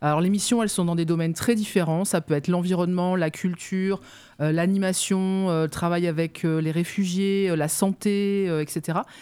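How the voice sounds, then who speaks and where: A woman's voice: fairly low pitch, steady tone, brisk, mellow, smooth. A woman, a guest on local radio, explaining her work at length with many hesitations. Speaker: youth mobility officer, guest. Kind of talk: explaining in an interview.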